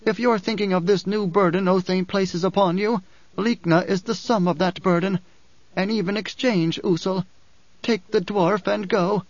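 Man voicing a bitter, high-pitched desert woman. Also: steady tone, normal speed, no room sound, highs cut off. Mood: edged, pressing. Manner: reproachful, edged, whining. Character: bitter, worn, proud desert woman, not very old.

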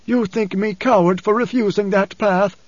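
Man voicing an anguished, high-pitched old blind prophet. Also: fairly even intonation, moderate pace. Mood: wounded, grave. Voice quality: husky, trembling. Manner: solemn, dramatic, formal speech. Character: anguished older blind father, weary desert prophet. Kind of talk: audiobook character acting. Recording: dull cassette audiobook, roomy echo.

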